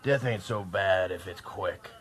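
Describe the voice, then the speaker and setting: A man's voice: low voice, a little slow, a bit bright. A man playing a jaded, mocking, fatalistic slave gladiator in a radio drama. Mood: wry, fatalistic. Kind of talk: old-time radio drama acting.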